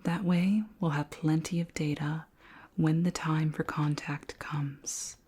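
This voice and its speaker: A woman voicing a curious, gently commanding alien queen in a soft, measured, formal whisper for an ASMR roleplay. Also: deep voice, flat delivery, slightly rough, full character voice. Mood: calm, authoritative.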